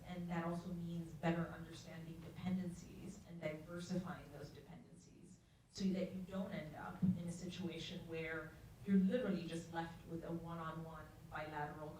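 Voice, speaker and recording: A woman's voice: lowish voice, steady tone, brisk, darkish tone, slight background noise. A woman from Ethiopia speaking on a panel, explaining ideas thoughtfully with frequent restarts. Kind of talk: panel discussion. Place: large university event hall. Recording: echoey hall, far from mic.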